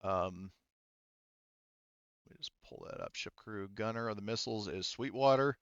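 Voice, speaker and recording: A smooth, fairly low-voiced man acts as game master. He talks casually through rules and dice rolls, often pausing to think aloud. The audio is dry and slightly muffled. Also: no echo. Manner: halting, thinking aloud while rolling dice. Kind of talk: casual tabletop game narration with rules talk.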